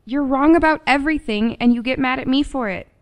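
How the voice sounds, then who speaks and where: An actress playing a cool, defiant daughter with attitude in radio play dialogue. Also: female voice, high voice, fairly quick.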